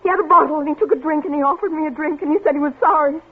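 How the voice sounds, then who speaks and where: A woman's voice: racing, natural voice. An actress playing a distraught young mother, crying and repeating herself in shock, in a 1950s radio drama. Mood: hysterical bitterness. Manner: sobbing, shrill, repeats words in shock. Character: distraught young mother clutching her dead son. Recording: muffled lo-fi vintage radio recording.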